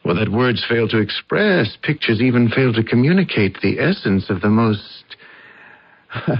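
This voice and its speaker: An animated, husky, brash husband. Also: male voice, mid-range voice, moderate pace. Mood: flirtatious, effusive. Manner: animated, emphatic, verbally extravagant. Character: brash, busy rich husband turned smooth-talking flirt. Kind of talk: radio drama acting. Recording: dull old radio recording.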